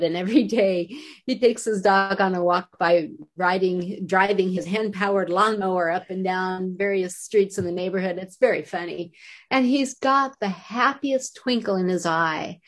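A woman's voice: fairly low pitch, fairly even intonation, normal speed. A sixty-year-old woman co-hosting a casual chat, friendly and curious, asking follow-up questions. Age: 60s. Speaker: co-host.

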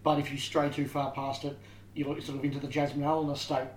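A man with a slightly high voice hosts a chatty podcast and steers the conversation. The room gives his voice a roomy echo. A faint noise sits in the background. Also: level tone, quick pace. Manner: leads the talk, asks each guest in turn. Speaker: podcast host. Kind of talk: casual chat.

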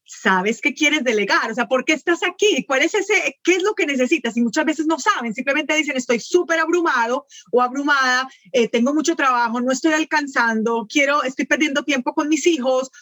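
A woman with a Colombian accent mixed with Venezuelan words, a guest explaining virtual assistance with lively examples in a casual podcast chat. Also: high-pitched, no room sound.

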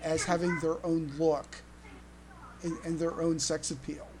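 Halting, lowish-voiced woman, noisy recording. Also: little pitch movement, a little mellow. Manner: halting, stammers and repeats words. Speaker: podcast co-host. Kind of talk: casual podcast chat.

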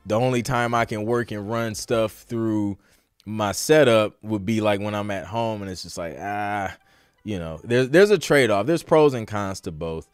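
Low-voiced man chatting casually, close dry sound. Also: measured pace, clean tone, dry recording, soft background music. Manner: informal, slangy, conversational. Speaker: podcast host. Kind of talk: casual live Q&A chat.

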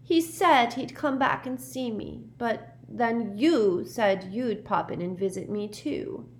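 A woman voicing the glum, easily wounded ghost of a teenage girl in an audiobook, sulky and plaintive with drawn-out words. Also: on the high side, lively intonation, a little hiss or hum, pitched above the performer's own voice. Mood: glum, reproachful.

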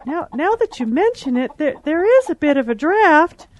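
A woman voicing a grumpy, excitable hen in a comic audio drama, squawking and melodramatic. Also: sits high, normal speed, slight background noise. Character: grumpy, excitable, easily outraged female hen.

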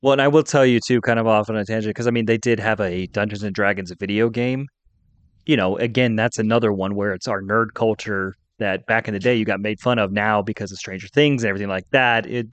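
A man with a slightly low voice, talking as a podcast co-host. He sounds informal and opinionated, like a fan chatting casually, on a very dry recording. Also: fairly even intonation, quick pace, no echo. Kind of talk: casual geek-culture chat.

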